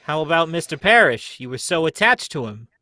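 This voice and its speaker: A blunt, no-nonsense police inspector, acted by a man, with a fairly high, forceful voice. He talks in a plain colloquial way, as in an old radio mystery, in a dry close recording. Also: big pitch swings, brisk, dry recording. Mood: businesslike. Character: gruff, practical male police inspector, plain-spoken. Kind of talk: live reading of old-time radio mystery script.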